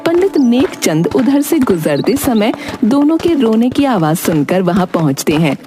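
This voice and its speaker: A woman's voice: a little high, very animated, measured pace, over music. A woman giving scripted narration of a village moral story.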